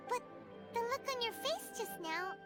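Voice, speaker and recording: A woman voicing a tiny, excitable childlike fairy girl in an anime game, with a squeaky voice. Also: moderate pace. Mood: earnest. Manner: refers to self in third person, exclaiming. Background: music.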